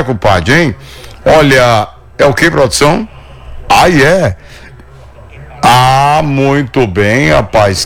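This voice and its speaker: Gravelly folksy host. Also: male voice, medium pitch, a bit bright. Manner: folksy, chatty, sing-song patter. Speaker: show host. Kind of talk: live show sales pitch.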